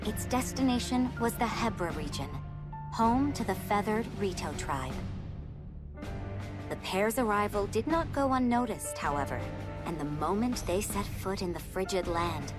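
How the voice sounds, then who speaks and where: Female game storyteller played by an actress: mid-range, measured, solemn scripted narration. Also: mid-range voice, close to an everyday voice. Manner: formal, measured. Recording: music behind the voice.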